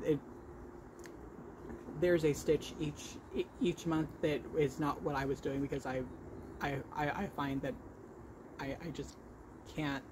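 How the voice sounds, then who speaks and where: Male vlog host, stutters, slow halting delivery, casual chat, clear background noise. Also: fairly high pitch. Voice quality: stutter with repeated sounds and blocks. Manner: halting, self-correcting. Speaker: vlog host showing crochet work. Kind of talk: casual podcast-style chat.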